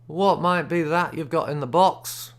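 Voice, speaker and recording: A man doing a sly, deliberate stranger. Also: fairly high pitch, voice pushed up. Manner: slow, deliberate, backwoods grammar.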